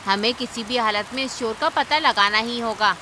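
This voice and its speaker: A woman's voice: a little high, moderate pace, noisy background, natural voice. A woman voicing a cautious, determined female bird in a cartoon, with an earnest, urgent way of talking. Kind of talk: cartoon character acting.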